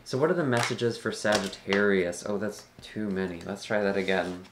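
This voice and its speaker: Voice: deep voice, normal speed. A tarot reader hosting a channel and talking casually to viewers, with a slightly scratchy, tired voice. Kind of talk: casual talk introducing a general tarot reading.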